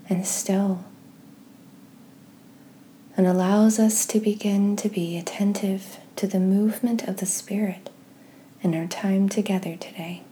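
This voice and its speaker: A woman leading a guided meditation and scripture reading in a gentle, soft-spoken, measured way with pauses. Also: mid-range voice, slight background noise. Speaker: host of a guided prayer podcast.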